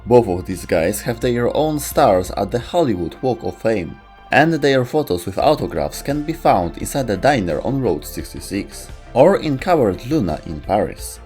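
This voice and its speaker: Low-pitched man narrating over music. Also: sing-song, normal speed, a little mellow. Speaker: video host and narrator. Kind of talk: scripted narration.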